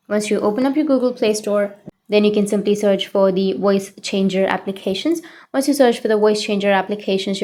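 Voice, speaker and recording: Female tutorial presenter, mid-range pitch, step-by-step instructional delivery. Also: darkish tone.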